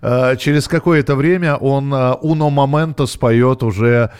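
A man's voice: mid-range voice, normal speed, darkish tone, clean tone. Man, radio host, casual live chat, mid-range voice, conversational, thinking aloud. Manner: conversational, chatty, musing aloud.

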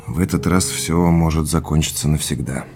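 Casting: subdued businessman under strain, deep voice, hesitant, independent streak; audio drama with music underneath. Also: steady tone, moderate pace, a little mellow. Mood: weary, downcast. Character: troubled businessman husband, subdued, stubbornly independent. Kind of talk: naturalistic audio drama dialogue.

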